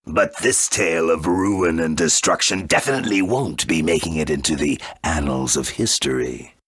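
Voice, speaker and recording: A man playing a grandiose, menacing villain in a video game, bent on wiping out the world, with a gravelly, theatrical delivery. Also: extremely low pitch, moderate pace, a bit bright, no echo, studio quality, heavily acted. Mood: ominous.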